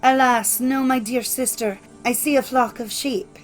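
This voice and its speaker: Storybook sister, performed by a female narrator: young, anxious lookout, calling out in a raised, high voice. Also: fairly even intonation, a little slow, faint music behind, low-quality recording. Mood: anxious watching. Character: anxious young sister keeping watch from a tower.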